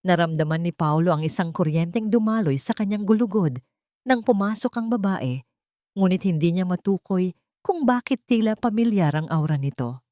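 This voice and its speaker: Low-voiced woman narrating a dramatic story. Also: animated, moderate pace, dark tone, no room sound, studio quality. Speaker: audiobook narrator.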